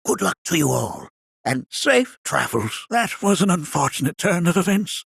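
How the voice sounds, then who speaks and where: A man playing a whimsical, absent-minded elderly scholar in a video game, raspy and creaky, speaking in formal, old-fashioned phrases. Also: a little high, very animated, moderate pace, fairly bright tone, dry recording, studio quality, exaggerated voice. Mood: encouraging. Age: older. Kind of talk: video game character acting.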